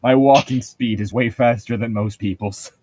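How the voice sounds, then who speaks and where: A man's voice: mid-range voice, steady tone, normal speed, a little mellow, breathy edge. A young man casually bantering and reading aloud in a mocking, sarcastic way, swearing freely. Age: young adult. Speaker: co-host reading and mocking a book.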